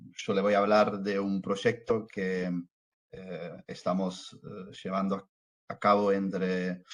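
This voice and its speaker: A man from southern Italy speaking Spanish with an Italian accent, giving a hesitant conference talk over a video call. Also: sits low. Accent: Italian, from Salerno, speaking Spanish with Argentine touches. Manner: hesitant, many fillers and restarts. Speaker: researcher and lecturer presenting.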